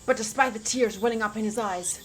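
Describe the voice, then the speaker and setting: A young woman reading visual novel narration aloud as a streamer, expressive and informal, with casual asides. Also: medium pitch, a little fast, slight background noise, poor audio.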